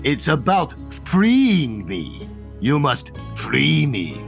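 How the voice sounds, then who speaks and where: A man's voice: medium pitch, huge pitch swings, drawn-out words, gravelly, faint music behind, pitched above the performer's own voice, full character voice. A man playing a scheming genie. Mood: commanding. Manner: grand, commanding stage speech. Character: scheming male genie of the lamp.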